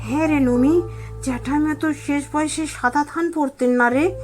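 A woman's voice: high voice, fairly even intonation, moderate pace, a little mellow, soft background music, lightly acted. A woman playing a serious, suspicious and protective elder sister in an audio drama, earnest and questioning. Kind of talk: Bengali audio story acting.

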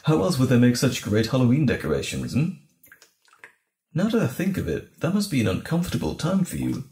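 A man acting as a tender boyfriend in an intimate audio roleplay, with a lively, swooping delivery and a crackly, creaky edge. He soothes and lightly teases his partner, and his voice rings in a roomy, echoing space. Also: medium pitch, brisk. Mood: gentle, understanding. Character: gentle, caring boyfriend comforting frightened partner.

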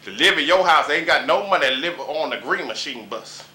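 A man's voice: on the high side, lively intonation, a little fast, sharp tone, a little hiss or hum. A man in his forties from Dayton, Ohio, doing a rambling, boastful comic rant on a local talk show. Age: 40s. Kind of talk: comic monologue and banter. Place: small room indoors.

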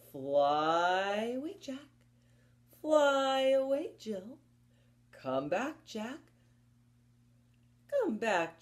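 A woman presents a story time for small children in an expressive, encouraging way. She speaks slowly and deliberately, with a very lively melody that rises and dips as she reads rhymes and picture books and asks the listeners questions. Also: fairly low pitch. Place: indoors, small book-lined room at home.

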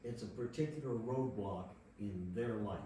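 A man's voice: lowish voice, normal speed, warm tone, slight background noise. Matter-of-fact man, distant in an echoey room. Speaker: Bible study participant. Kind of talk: explanatory discussion comment. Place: echoey room, group meeting.

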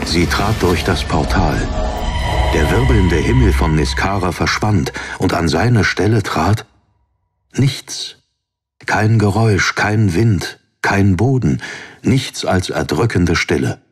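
A man's voice: sits low, animated, slow pace, husky. A man reading a fantasy story as a scripted, dramatic audiobook narration. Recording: narration over background music.

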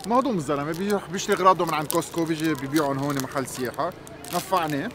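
A man's voice: on the high side, rapid-fire, noisy background. A man in his forties with a Lebanese Arabic accent, narrating a casual, enthusiastic travel vlog. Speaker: travel vlogger, host.

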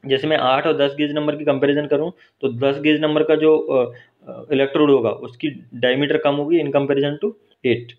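A man's voice: fairly high pitch, flat delivery, moderate pace. A man teaching welding in a workshop, explaining step by step and often checking in with his viewers. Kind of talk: hands-on technical explanation. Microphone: phone held while filming. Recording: dull lo-fi phone recording.

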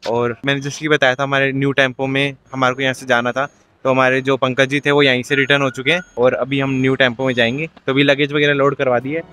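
A man's voice: mid-range voice, fast. Chatty young man vlogging outdoors. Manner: chatty, relaxed, says "yaar" often. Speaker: travel vlogger. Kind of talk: casual travel vlog to camera. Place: outdoors on mountain roads and hotel grounds. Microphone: phone or camera held at arm's length.

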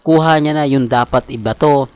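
A man's voice: mid-range voice, moderate pace, smooth, no echo. Filipino man giving casual fan commentary. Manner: conversational, repeatedly seeking agreement with tag questions. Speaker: fan channel host. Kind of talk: casual celebrity update commentary.

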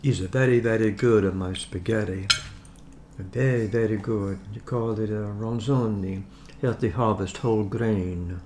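A man's voice: lowish voice, fairly even intonation, a little slow, natural voice. A man in his seventies putting on a mock Italian accent as an emphatic, gushing spaghetti lover in a homemade food video. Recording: noisy room with cutlery clinks.

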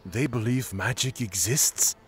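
A man playing a stealthy, incredulous scout in a fantasy audio drama, with a raspy voice. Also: mid-range voice, measured pace. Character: stealthy, incredulous male scout hidden in treetops.

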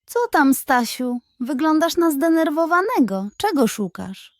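A man's voice: very high voice, very animated, measured pace, no room sound, studio quality, voice pushed up, full character voice. A woman voicing a playful, wise male fox who teases and reassures in a children's bedtime story. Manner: teasing yet reassuring.

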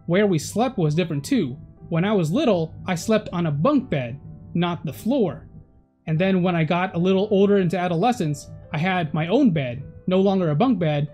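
A man's voice: high voice, a little mellow. Easygoing Vietnamese-born man vlogging. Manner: easygoing, chatty, with small self-corrections and light laughs. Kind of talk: personal vlog storytelling. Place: indoor room at home. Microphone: camera facing him, head and shoulders.

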